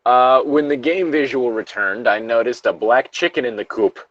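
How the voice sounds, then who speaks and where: A man reads a story aloud in a casual, joking way, breaking off for sweary asides. His voice sits at an average pitch. The recording is close and dry but a little dull. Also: normal speed, dry recording. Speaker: co-host reading internet horror stories aloud.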